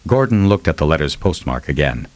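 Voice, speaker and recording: Low-voiced male audiobook narrator. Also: sing-song, brisk, darkish tone, dry recording. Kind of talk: scripted dramatic fiction narration.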